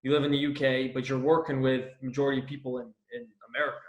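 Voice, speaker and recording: A man's voice: mid-range voice, little pitch movement, fast talker, reverberant, poor audio. A man hosting a casual podcast interview, enthusiastic and slangy, calling his guest "dude" and "bro". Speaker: podcast host.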